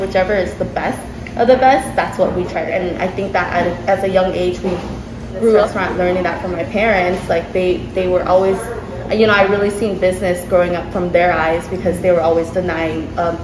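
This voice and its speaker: A chatty woman with a slightly low voice, restarting her thoughts as she talks and laughing along the way. She is recorded in a restaurant, with room echo and loud background noise. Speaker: restaurant owner. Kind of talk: casual interview. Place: sushi restaurant.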